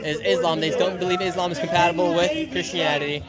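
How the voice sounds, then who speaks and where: A man hosting a vlog, calmly asking quick questions in a street interview at a protest. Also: high-pitched. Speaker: vlogger host interviewing at a protest. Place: outdoor protest in a city. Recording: loud crowd noise behind.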